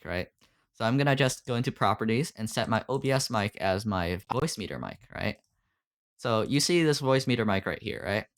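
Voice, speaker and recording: Casual man explaining, tacking on "right?". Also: medium pitch. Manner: loose, filler-heavy, keeps asking "right?". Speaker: streaming audio mentor. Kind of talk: casual screen-share tutorial on a call. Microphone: desktop USB condenser mic.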